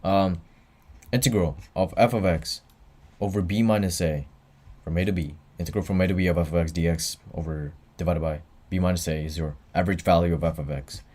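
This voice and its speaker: A man's voice: sits low, fairly even intonation, fairly quick, darkish tone. A tired young man running a livestreamed exam review, talking offhand and answering chat between explanations. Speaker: student tutor.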